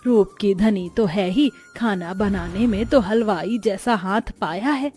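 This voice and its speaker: A woman's voice: mid-range voice, a little slow, dark tone, soft background music, voice pushed down, natural voice. A woman voicing a domineering middle-aged mother-in-law with an emphatic, judging manner in a Hindi cartoon moral story. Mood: effusive praise.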